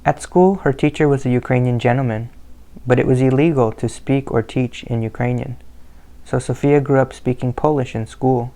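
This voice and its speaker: Flat-voiced gravelly male narrator. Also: medium pitch, normal speed. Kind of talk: scripted narration of a family history.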